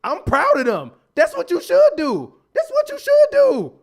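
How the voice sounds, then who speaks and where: High-voiced man ranting with heavy profanity. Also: huge pitch swings, a little slow. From United States. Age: 30s. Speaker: podcast co-host. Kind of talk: heated podcast debate.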